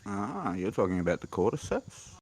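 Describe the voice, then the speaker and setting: A man chatting on a podcast in a lively, animated way. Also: lowish voice, normal speed, slightly rough, no echo. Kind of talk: casual chat.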